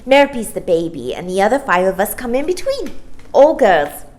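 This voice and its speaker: A woman voicing a bright, excitable little star-child girl in a children's storybook, gushing with breathless delight. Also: medium pitch, normal speed, pitched above the performer's own voice, close to an everyday voice. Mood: gleeful.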